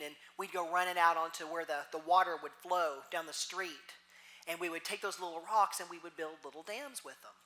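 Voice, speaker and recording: A middle-aged woman minister with a Texas accent, preaching a gentle, reflective sermon that slows into soft pauses. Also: lowish voice.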